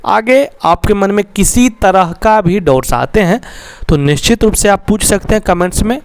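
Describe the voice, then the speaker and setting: A man's voice: sits high, animated, moderate pace, clean tone, dry recording. A man with a Bihari accent giving an instructional, motivational exam-preparation explainer as a coach, often checking in with his listeners. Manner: addresses listeners as companions, with frequent check-in tags.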